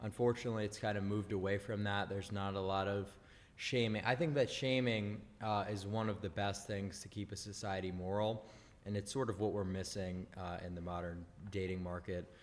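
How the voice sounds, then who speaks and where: A man hosting a couple's session, giving his opinions in halting casual talk full of uhs and you knows. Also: fairly low pitch, steady tone, in a large hall. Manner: halting, many uh and you know. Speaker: host giving advice.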